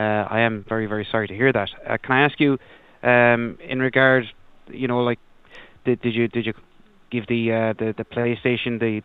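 A man working as a customer service agent on a phone call, speaking politely and apologetically, with halting delivery. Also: mid-range voice, clean tone, no echo. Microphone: telephone. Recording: narrow tinny phone line.

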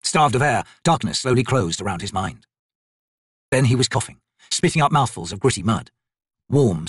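A man's voice: medium pitch, husky, dry recording, studio quality. A man reading dramatic fantasy narration as an audiobook narrator.

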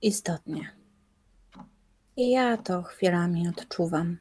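An actress playing a determined, defiant young woman in an audio drama, arguing in measured, formal language. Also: lowish voice, darkish tone, a little hiss or hum. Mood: pensive. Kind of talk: audio drama acting, literary dialogue.

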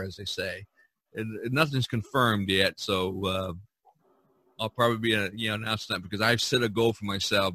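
Bright-voiced man hosting a casual podcast. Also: on the low side, no echo. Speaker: podcast host. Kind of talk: casual chat among artists.

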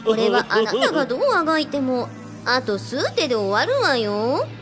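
A flirtatious, glamorous woman spirit played by an actress talks breezily and with assurance in a radio drama. Her pitch moves in lively swoops over faint music. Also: moderate pace. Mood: knowing. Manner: coquettish, casual, self-assured. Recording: music behind the voice.

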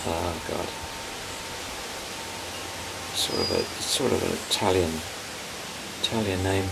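Older man, gravel in the voice, lowish, rambling film veteran interview on a rural porch, heavy outdoor noise. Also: steady tone. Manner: rambling, halting, searching for names. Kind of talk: casual reminiscing interview. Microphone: camera mic, medium distance. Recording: loud outdoor background noise.

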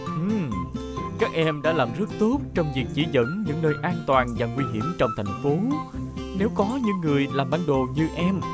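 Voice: medium pitch, lively intonation, normal speed, close to an everyday voice. An actor voicing a friendly, helpful robot police car in a cartoon dub, with an encouraging manner. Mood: friendly, praising. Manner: encouraging, kindly. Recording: loud music behind.

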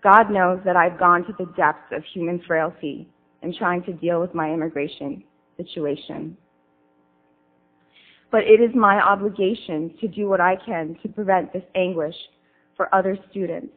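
A young woman reads prepared testimony in an earnest, composed way. Her voice sits a little low. The recording is thin and phone-like. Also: moderate pace. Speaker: student witness. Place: congressional hearing room. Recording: tinny lo-fi archive recording.

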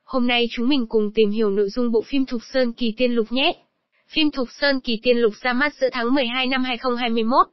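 A woman delivers scripted promotional narration in a fairly high voice. The sound is dry, with little room, and slightly muffled on top. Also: no room sound. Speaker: channel narrator introducing an animated series.

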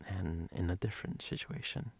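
Man playing a sleepy boyfriend, gravelly and husky. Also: sits low, lively intonation, heavily acted. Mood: doting, teasing. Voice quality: husky, breathy, gravelly, often whispered. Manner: slow, halting, intimate teasing. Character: sleepy, teasing, doting boyfriend. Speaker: ASMR role-play performer. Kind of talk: ASMR boyfriend role-play.

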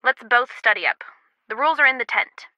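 An actress playing a brisk, wry young woman, a loyal friend and teammate, in a casual video game delivery. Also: fairly high pitch, expressive, bright, forceful tone, no echo, rough recording. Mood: focused, wry.

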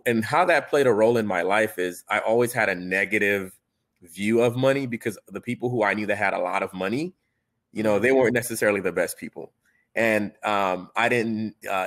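A man's voice: fairly low pitch, fairly bright tone. A man from Haiti telling his story earnestly as a panelist on a video call, with many fillers and restarts.

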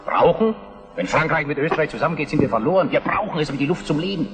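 A man's voice: a little high, expressive, fast, close to an everyday voice. An actor playing a shrewd middle-aged Prussian statesman in a German radio drama, speaking in a dry, worldly way. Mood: grim, urgent. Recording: hall reverb, music behind.

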